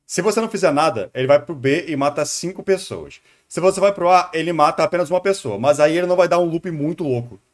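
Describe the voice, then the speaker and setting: A man's voice: fairly high pitch, lively intonation, fast talker, few pauses. A man with a Brazilian Portuguese accent giving casual live-stream commentary, hesitating and repeating words as he thinks aloud. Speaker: streamer reacting to a video.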